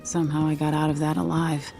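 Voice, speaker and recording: A woman's voice: low-pitched, level tone, fast, vocal fry, music in the background. A woman voicing a determined young empress in a video game, guarded and wry, speaking in a cool, terse way. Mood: wary musing.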